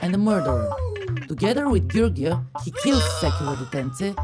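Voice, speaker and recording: A woman narrates from a script, setting out the characters of a story. Her voice is on the low side, with very loud music behind it.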